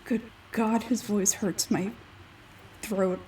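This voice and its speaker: A woman with a husky voice making casual asides as she voices game dialogue. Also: mid-range voice, fairly even intonation, slow, mellow, recorded from a distance, a little hiss or hum, low-quality recording. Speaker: amateur voice actor dubbing a game.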